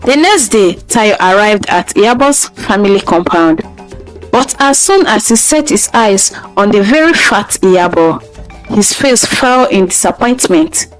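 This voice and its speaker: A woman's voice: medium pitch, poor audio. A woman storyteller reading a scripted folktale narration. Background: music.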